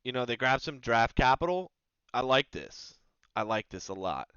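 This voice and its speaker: A man hosting his own sports video, reading mock draft picks aloud and reacting to them in casual, animated commentary. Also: mid-range voice, clean tone, no room sound, highs cut off. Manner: animated, reads passages aloud then reacts with quick asides.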